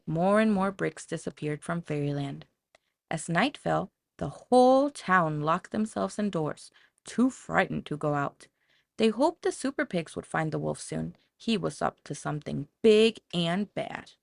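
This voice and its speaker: A woman narrating a children's story from a script in a dramatic, expressive storytelling manner. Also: lowish voice, gravelly, no room sound, studio quality. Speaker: audiobook narrator.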